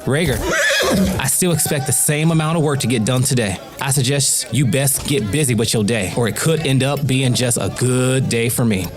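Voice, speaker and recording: A man's voice: mid-range voice, normal speed, lightly acted. Menacing Southern plantation overseer, played by a man, music behind. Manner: domineering, threatening, drawling commands. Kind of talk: audio drama acting.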